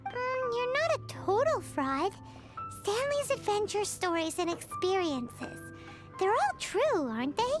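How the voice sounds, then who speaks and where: A woman voicing a tiny, bossy, childlike companion creature in a video game, with a squeaky, pouty way of talking. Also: sing-song, a little slow, exaggerated voice. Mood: gentle. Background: game music.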